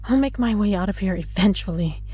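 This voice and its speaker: Female actor for vengeful immortal lab prisoner in audio drama: muted brightness, husky edge, sardonic menace, music underneath. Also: mid-range voice, lively intonation, no echo. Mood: threatening. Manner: taunting, sardonic, deliberate, addresses listener with mock endearments. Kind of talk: dramatic audio roleplay monologue.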